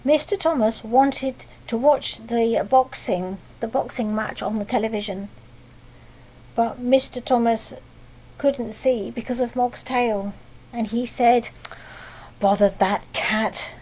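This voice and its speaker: Slow-paced British woman reading a children's story. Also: a little high, darkish tone, rough recording. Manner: simple child-directed talk with tag questions. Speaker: primary school teacher reading a picture book aloud. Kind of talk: children's storytelling with explanatory asides.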